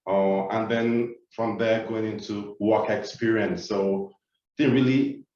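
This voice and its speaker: A man in his thirties from Nigeria with a Nigerian accent, Yoruba his first language, giving a measured keynote talk on a webinar with frequent "uh" fillers. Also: on the low side, a little mellow. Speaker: keynote speaker. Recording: echoey, dull sound from far off the mic.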